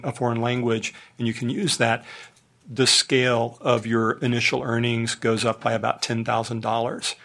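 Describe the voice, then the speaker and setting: A university administrator at a board meeting, speaking in a matter-of-fact way. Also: male voice, medium pitch, fairly bright tone. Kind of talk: formal meeting remarks.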